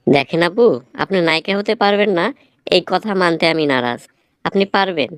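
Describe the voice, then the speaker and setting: Man playing a smooth, sardonic Bangladeshi film writer. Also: high-pitched, lively intonation, fairly quick, no room sound. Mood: lecturing. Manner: sardonic, lecturing. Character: blunt, teasing male film writer giving advice. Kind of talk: comic cartoon dialogue.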